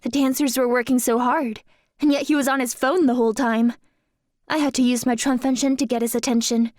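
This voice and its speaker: A woman plays a polite young dancer with magical gifts in an audio drama. Her high voice is sincere and careful, and the recording is close and dry. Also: fairly even intonation, a little fast, darkish tone, no room sound, close to an everyday voice. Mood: indignant, earnest.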